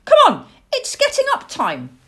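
A woman voicing an indignant, excitable little boy barn owlet in a children's story, shrill and exclamatory. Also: measured pace, full character voice. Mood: eager.

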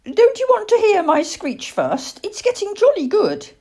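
Woman doing a small boy owl in a kids story, high squeaky-young voice, earnest and excitable, swoops all over. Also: unhurried, full character voice. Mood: proud, excited. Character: Plop, eager, anxious, boastful young boy barn owl.

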